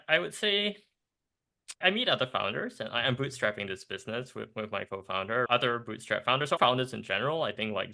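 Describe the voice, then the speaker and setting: A young man from Singapore, a startup co-founder, talking in a casual podcast interview in animated bursts with restarts and laughs. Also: mid-range voice, gravelly.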